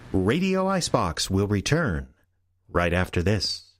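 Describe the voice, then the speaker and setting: A man reading a sponsor announcement in a smooth announcer delivery. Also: lowish voice, sing-song, measured pace. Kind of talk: sponsor spot read. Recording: music bed behind.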